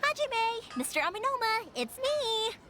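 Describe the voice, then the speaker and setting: A woman voicing a cheerful, chatty, energetic young fireworks maker in an anime-style game. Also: very high-pitched, unhurried, background noise, heavily acted. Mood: eager, chatty. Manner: bubbly, chatty, animated.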